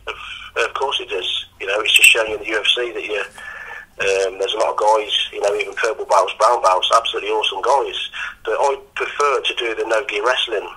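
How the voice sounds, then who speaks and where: Croaky, husky coach. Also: male voice, lowish voice, pushed and bright, rough recording. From England. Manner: hesitant, full of ums and restarts. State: croaky after training. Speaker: MMA coach. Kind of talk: casual interview answers.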